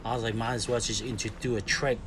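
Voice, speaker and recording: A man's voice: medium pitch, level tone, quick pace. A man in his forties from Hong Kong, a skateboarder being interviewed, telling stories casually in English, laughing often and acting out quotes. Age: 40s. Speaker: guest, skateboarder. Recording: clear background noise.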